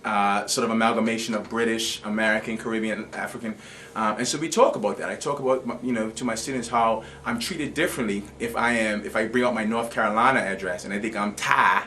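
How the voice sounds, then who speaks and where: Fast-talking Bermudian man. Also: on the low side, steady tone, sharp tone, slight background noise. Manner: reflective, often repeats words while thinking. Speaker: education scholar and author. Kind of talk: interview answers, storytelling.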